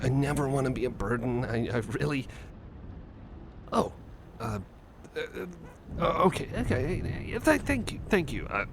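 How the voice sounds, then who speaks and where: A man's voice: mid-range voice, very animated, normal speed, long pauses. Man playing a nervous, stammering cyborg, stormy background. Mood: frightened, flustered. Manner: stammering, hesitant, rambling, repeats himself. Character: anxious, timid young male cyborg buddy, gentle and apologetic. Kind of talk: ASMR roleplay, intimate chat to listener. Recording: noisy thunderstorm ambience behind voice.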